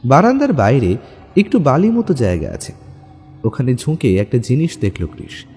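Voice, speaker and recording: A male narrator tells a detective story with an animated, dramatic delivery. His mellow voice carries a long reverb, and music plays faintly underneath. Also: medium pitch, normal speed, husky.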